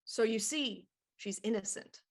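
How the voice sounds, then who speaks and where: Casting: 1920s female detective for radio whodunit, higher register, composed, dryly theatrical, sharp-minded. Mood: confident deduction. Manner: deliberate, dry, theatrical deduction. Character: shrewd, composed female police inspector in 1920s murder mystery. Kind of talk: period radio mystery acting.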